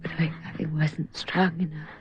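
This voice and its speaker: A woman's voice: low voice, level tone, moderate pace, lo-fi sound, lightly acted. An actress playing a horrified, remorseful woman in a radio horror drama, speaking with dramatic weight. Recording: band-limited radio drama, faint music.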